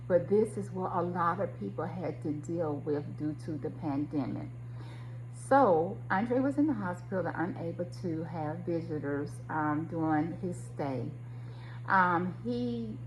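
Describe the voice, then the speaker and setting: Low-voiced woman telling a personal story, noisy recording. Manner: conversational, reflective, addresses listeners directly.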